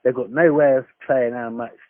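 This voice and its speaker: A man's voice: medium pitch, steady tone, moderate pace, no echo. Insistent, blunt man on a dull recording. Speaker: caller disputing a tax account. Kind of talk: argumentative phone complaint. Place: phone call, recorded. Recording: dry, dull lo-fi phone call recording.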